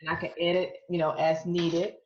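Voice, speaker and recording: A woman's voice: lowish voice, little pitch movement, moderate pace, darkish tone. A woman hosting an interview over a video call, chatting and affirming her guest with short interjections. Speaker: podcast host, author. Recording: dull, roomy video call audio.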